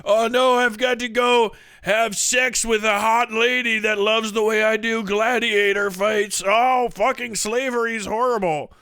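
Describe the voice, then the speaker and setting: A man's voice: sits high, steady tone, a little slow, hardly any pauses, sharp tone, smooth, dry recording, voice pushed up. A man voicing a hammy movie gladiator slave lamenting his fate with melodramatic mock anguish in a comic skit.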